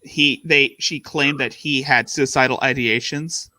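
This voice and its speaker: A man with a slightly nasal voice co-hosting a casual stream chat, talking in halting bursts with restarts. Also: medium pitch, steady tone, pushed and bright. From Arizona, US. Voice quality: a bit nasal. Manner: halting, restarts mid-thought. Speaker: stream co-host.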